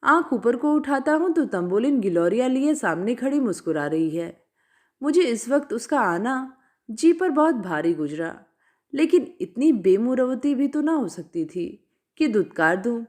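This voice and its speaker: High-pitched, animated woman storyteller. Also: a little slow. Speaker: story narrator. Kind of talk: expressive scripted literary narration.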